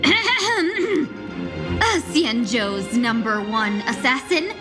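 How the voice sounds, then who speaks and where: A woman's voice: sits high, animated, slow. An actress playing a sly young fox woman with a playful, theatrical, bluffing way of talking, in a game. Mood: playfully boastful. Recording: game audio with music. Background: game music.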